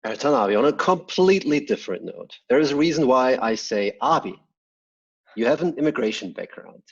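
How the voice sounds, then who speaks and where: A man's voice: mid-range voice, lively intonation, brisk, creaky, slightly rough, dull audio. A man hosting an interview, asking brief questions. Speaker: interviewer, host.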